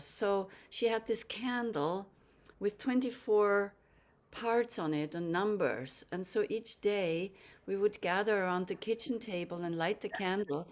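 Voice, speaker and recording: A soft-spoken woman, recounting a memory over a video call. She talks slowly and gently, pausing often with little ums. Her voice sits at an ordinary middle pitch. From Austria. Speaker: guest musician and storyteller. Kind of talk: relaxed storytelling with gentle pauses.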